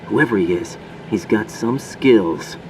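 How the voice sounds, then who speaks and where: A man's voice: fairly low pitch, lively intonation, slow pace, recorded from a distance, background noise. A man playing an earnest young special-forces agent in a video game, reporting tersely and asking questions of his commander. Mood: intrigued. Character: young male special-forces operative, earnest rookie infiltrator.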